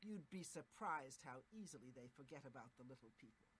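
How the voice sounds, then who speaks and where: An actress playing an aloof, condescending high elf mage in a video game, speaking in a cool, measured way. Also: female voice, expressive, background noise. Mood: dismissive. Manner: cool, measured, slightly dismissive.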